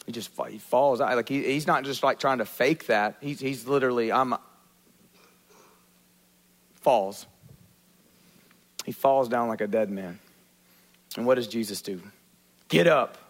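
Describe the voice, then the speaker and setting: A male pastor preaching a sermon, asking the congregation questions and acting out quotes in lively voices. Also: mid-range voice, a little slow, long pauses. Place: church.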